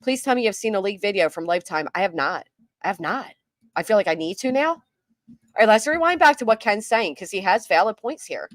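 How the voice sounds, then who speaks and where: A woman's voice: medium pitch, animated, brisk, dry recording. A woman giving casual commentary on a live stream, reading chat comments aloud with a skeptical tone.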